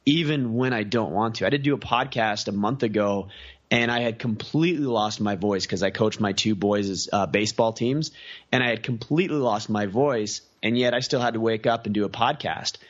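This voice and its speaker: A man's voice: lowish voice, normal speed, highs cut off. A man talking as a podcast guest in a casual interview, earnest and piling up lists of examples. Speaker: podcast guest, community founder.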